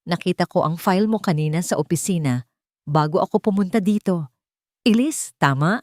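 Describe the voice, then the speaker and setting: A woman's voice: on the low side, expressive, normal speed, darkish tone, no room sound, studio quality, close to an everyday voice. Woman voicing a curt, commanding male CEO. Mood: cold. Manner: curt, businesslike, unemotional. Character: cold, commanding, desperate billionaire male CEO.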